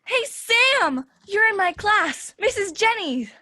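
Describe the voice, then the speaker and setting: A woman playing an eager young schoolgirl in a stage play, loud and whiny. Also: very high voice, sing-song, slow pace, no room sound, studio quality, full character voice. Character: eager young girl Red, Sam's classmate.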